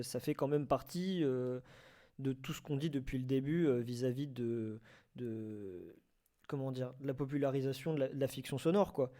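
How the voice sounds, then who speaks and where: A man's voice: medium pitch, dark tone. A man hosting a casual live debate, hesitant, often repeating and rephrasing his words. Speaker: host of a live online debate. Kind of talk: casual unscripted debate on audio fiction.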